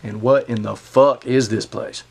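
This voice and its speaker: A man's voice: medium pitch, fairly even intonation, a little slow, vocal fry, a little mellow. A man playing a defiant, foul-mouthed drug dealer with a short fuse in a screen drama, blunt and streetwise. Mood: defiant, impatient. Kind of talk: naturalistic screen drama acting.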